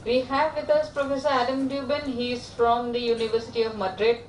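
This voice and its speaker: A woman's voice: on the high side, level tone, recorded from a distance, a little hiss or hum. Woman making announcements in echoey hall. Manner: brisk, joking, hesitant restarts. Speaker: course organiser. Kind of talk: classroom announcements. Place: lecture hall.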